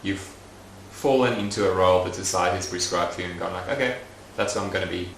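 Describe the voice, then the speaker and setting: Young adult man, documentary interviewee, low pitch, gravelly, unhurried and reflective. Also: slight background noise. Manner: thoughtful, soft-spoken, frequent fillers. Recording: roomy echoey recording.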